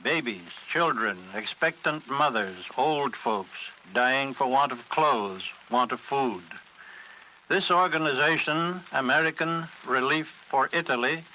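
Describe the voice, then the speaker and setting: Casting: male appeal speaker for 1940s radio, grave and deliberate, hard bright delivery, faint background hiss. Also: medium pitch, rough recording. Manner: emphatic, clipped phrases, deliberate pauses. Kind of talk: solemn scripted radio appeal. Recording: hissy 1940s radio recording.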